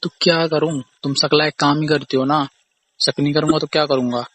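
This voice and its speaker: A man's voice: fairly high pitch, level tone, a little fast, fairly bright tone. A young man arguing casually in an accusing, repetitive, aggrieved way on a phone call recording. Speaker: boyfriend or husband on a phone call. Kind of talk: casual argumentative phone conversation.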